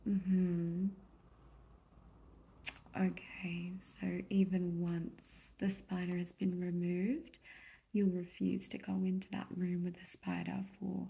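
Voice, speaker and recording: A woman doing a soft-spoken ASMR therapist roleplay, gentle and reassuring, often slipping into a whisper. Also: fairly low pitch, gravelly. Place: small indoor room at a desk. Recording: soft background noise, keyboard clicks. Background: computer keyboard typing.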